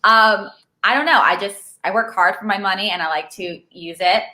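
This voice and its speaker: A woman's voice: medium pitch, moderate pace, pushed and bright, slight background noise. A young woman from Argentina, raised in California, chatting as a guest and filling her talk with "like.". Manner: chatty, lots of "like" fillers, laughs easily. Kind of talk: casual chat. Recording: echoey room.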